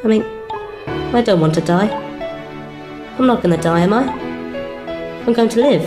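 A woman's voice: mid-range voice, expressive, natural voice. Woman voicing a frightened young boy, echoey. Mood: desperate, pleading. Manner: halting, pleading, repeating words. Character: frightened, hurt young boy trapped in darkness. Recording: echoey, music behind the voice.

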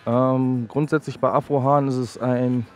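A man's voice: mid-range voice, little pitch movement, normal speed, soft background music. A man in his thirties, a barber, casually explaining his work through a headset mic in a sports hall. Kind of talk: casual explanation while working. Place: large indoor sports hall.

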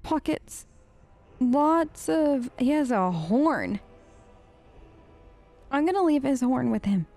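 A woman hosting a casual role-play game video, expressive and chatty as she talks to the characters. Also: sits high, slow, long pauses, faint music behind. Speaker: gaming video host. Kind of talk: in-character playthrough commentary, casual.

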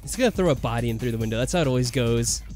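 Man casually musing, with lively swings. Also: medium pitch, very fast. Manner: musing, jokey. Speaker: let's play host. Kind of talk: casual gameplay commentary with voiced reading of dialogue. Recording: music under the voice. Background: game music.

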